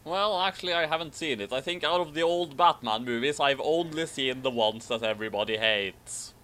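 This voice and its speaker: A man's voice: mid-range voice, fairly quick, bright, forceful tone. A man hosting a commentary, reading a fan fiction aloud in an amused, casual way. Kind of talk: dramatic read-aloud mixed with casual commentary.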